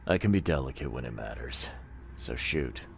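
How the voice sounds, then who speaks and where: Tired noir detective in a video game, real deep voice, short dry lines, doesn't trust anyone. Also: male voice, expressive, moderate pace, creaky, a little hiss or hum, full character voice. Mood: terse, businesslike. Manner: dry, laconic, guarded. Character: terse, world-weary hard-boiled male private detective. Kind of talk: video game cutscene acting.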